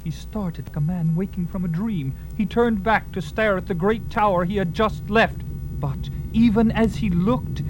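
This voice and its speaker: A man's voice: sits high, moderate pace, rough recording. A man giving a theatrical, suspenseful scripted narration of an adventure story, with music behind him. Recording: loud music under the voice. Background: loud dramatic music.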